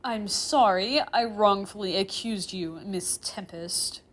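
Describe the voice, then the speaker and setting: A woman's voice: medium pitch, brisk, close to an everyday voice. A woman voicing a proud, stern, easily offended male demon professor with a formal, indignant manner in a story. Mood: reluctant, humiliated.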